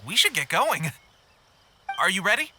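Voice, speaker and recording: An actor playing a friendly, eager young soldier in a video game, chatty and earnest. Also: male voice, high voice, very animated, normal speed, bright, forceful tone, a little hiss or hum, heavily acted. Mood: friendly, upbeat. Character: eager, friendly young male soldier of an island resistance army. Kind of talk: scripted video game character acting.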